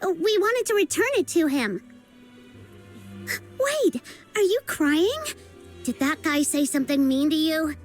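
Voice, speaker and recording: A woman voicing a bubbly, scheming young girl sidekick in a video game, in an excitable, playful way. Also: high-pitched, unhurried, long pauses, soft background music. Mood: chirpy, curious. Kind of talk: animated video game voice acting.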